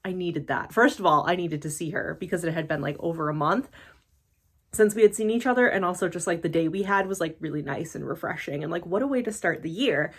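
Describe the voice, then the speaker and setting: Woman, late twenties, from the US, casual vlog talk, rambling with fillers, lowish voice. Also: a little fast, husky. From United States. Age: late 20s. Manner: chatty, rambling, frequent "like" fillers, laughs at herself. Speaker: YouTuber.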